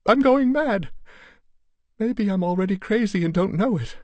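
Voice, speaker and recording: A man's voice: medium pitch, steady tone, slow, darkish tone, slightly rough, no room sound, voice pushed up. A man voicing a hysterical young wife, pleading shrilly and frantically. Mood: haunted.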